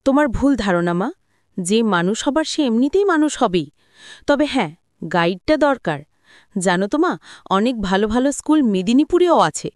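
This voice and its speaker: A woman voicing a principled young married daughter in an audio story, earnest, gently firm and reasoning. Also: a little high, very animated, moderate pace, no room sound, clean studio sound.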